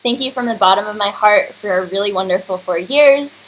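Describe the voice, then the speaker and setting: A woman's voice: medium pitch, a little fast, a bit bright. A woman in her early twenties hosting casually and effusively on a livestream. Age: early 20s. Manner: effusive, informal. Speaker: host and curator. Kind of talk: casual unscripted livestream address. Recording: clearly noisy home computer livestream.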